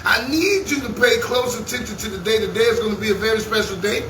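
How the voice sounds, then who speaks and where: A man in his thirties hosting a lively morning radio show, talking in a playful, preacherly way with rhymes. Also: high voice, fast talker, echoey room, recorded from a distance, slight background noise, poor audio. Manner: preacherly, rhyming, playful banter.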